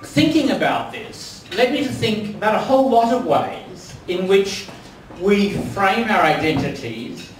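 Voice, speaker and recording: A man's voice: high-pitched, sing-song, a little slow, hardly any pauses, slight background noise. An older man with an Australian accent giving a wry, anecdotal after-dinner speech in a large dining room. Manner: wry, anecdotal, with many ums. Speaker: dinner speaker. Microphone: distant mic. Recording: echoey hall from far off.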